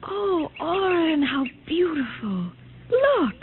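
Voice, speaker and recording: An actress in a 1950s radio drama playing a frightened, tender young wife with breathless, melodramatic delivery, on a noisy old recording. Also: female voice, very high voice, sing-song, takes it slowly, narrow, tinny audio, full character voice. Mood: awed, excited.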